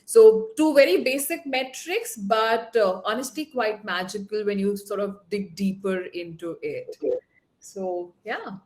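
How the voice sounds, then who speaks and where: A woman's voice: medium pitch, a little slow, darkish tone, a little hiss or hum. Upbeat woman moderating. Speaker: webinar moderator. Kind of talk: moderating a panel Q&A. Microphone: video call.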